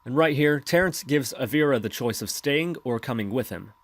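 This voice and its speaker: A man's voice: mid-range voice, lively intonation, normal speed, no room sound. Male tutorial narrator, instructional scripted read, dry close-miked sound. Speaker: tutorial host.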